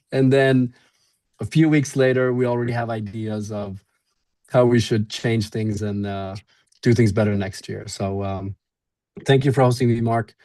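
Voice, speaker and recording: A man from Sweden with no clear accent, talking in a relaxed, friendly way with many fillers on a webinar panel. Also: mid-range voice, measured pace, long pauses. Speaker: event organizer.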